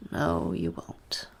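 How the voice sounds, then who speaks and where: A woman playing a weary, withdrawn go-between in an audio drama, listless and deliberate, often slipping toward a breathy whisper. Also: slight background noise. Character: weary, withdrawn woman arranging a covert deal. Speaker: voice actor.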